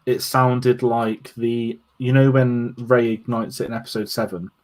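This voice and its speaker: A man co-hosting a casual podcast chat, halting and searching for his words. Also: mid-range voice, level tone, a little mellow. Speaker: podcast co-host.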